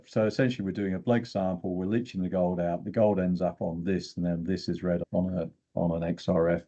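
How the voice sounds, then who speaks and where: Very deep, gravelly older man, slightly dull audio. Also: warm tone. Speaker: guest expert in exploration geochemistry. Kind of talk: technical interview explanation.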